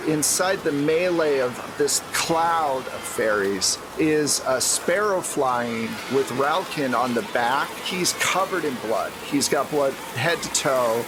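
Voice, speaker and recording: A man's voice: mid-range voice, noisy background. A man narrating a tabletop role-playing game as game master, in a conversational, descriptive storytelling manner. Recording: background music under the voice.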